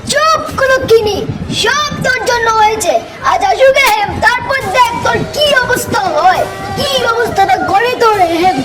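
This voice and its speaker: Shrill-high, scolding mother-in-law. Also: normal speed, hardly any pauses. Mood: menacing. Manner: melodramatic, scolding. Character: jealous, domineering middle-aged mother-in-law villain. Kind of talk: amateur doll-drama acting. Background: loud music.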